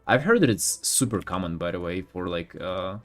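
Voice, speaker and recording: A low-voiced man, a native Russian speaker, chats casually on a livestream as he paints. His talk rambles and halts, full of slang and swearing. Faint music plays behind him. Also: lively intonation, normal speed, fairly bright tone. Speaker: art streamer.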